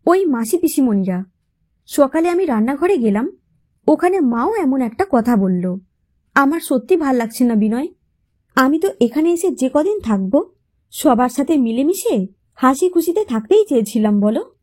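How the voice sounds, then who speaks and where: A gentle young wife voiced by a woman storyteller speaks in a high, mellow voice. She is affectionate and pleading, a wounded daughter-in-law in an audio storybook who longs to be loved, her pitch moving expressively. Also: sing-song, normal speed, husky, exaggerated voice. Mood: hurt, pleading.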